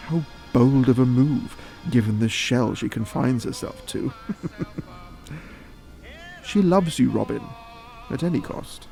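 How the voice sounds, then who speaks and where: A man playing a middle-aged father with a mellow, gravelly voice that swings playfully. He is worldly and provocative, a smooth talker in an audio drama who likes to tease. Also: medium pitch, poor audio. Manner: smooth-talking, provocative, theatrical, unhurried.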